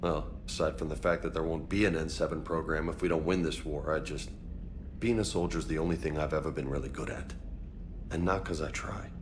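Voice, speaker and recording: A man's voice: very deep voice, steady tone, brisk, background noise. A man playing an informal, self-doubting space marine in a video game, talking in a casual, slangy, blunt way. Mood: troubled, candid. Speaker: soldier. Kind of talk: video game dialogue acting.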